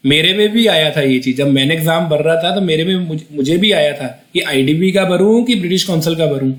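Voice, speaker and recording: A man's voice: on the high side, fairly quick. A young man giving an exam-prep video lesson in a chatty, repetitive way, often restarting sentences and checking in with the viewer. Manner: chatty, repeats himself, restarts sentences, frequent "theek hai ji". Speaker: IELTS tutor. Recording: echoey room.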